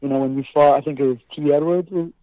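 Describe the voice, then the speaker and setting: A young man from Boston, a guest on a casual chat, laughing often and talking in a relaxed way over a remote call. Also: a little high, very fast, no echo. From Boston, USA. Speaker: guest, fighter. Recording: dry, dull call audio.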